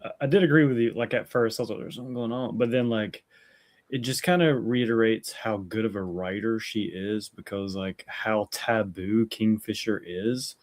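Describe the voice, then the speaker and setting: A man with a fairly low voice talks casually about a book. He stops often to hunt for words, restarting his sentences as he goes. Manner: halting, searching for words with restarts. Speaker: podcast co-host. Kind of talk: casual book-club chat.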